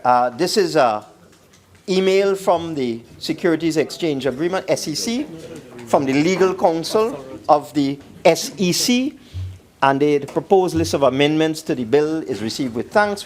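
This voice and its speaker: Trini guy, pretty high voice, emphatic politician speech, repeats himself to make the point. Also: lively intonation, normal speed, a little mellow. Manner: emphatic, repeats phrases for stress. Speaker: government minister speaking in parliament. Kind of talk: parliamentary debate speech.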